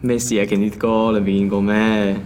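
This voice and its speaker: An actor playing a young office worker who grumbles and answers back casually in a radio drama. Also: male voice, on the low side, little pitch movement, brisk, a little mellow, a little hiss or hum, close to an everyday voice. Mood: irritated. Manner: grumbling, casual, dry retorts. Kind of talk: Cantonese radio drama acting.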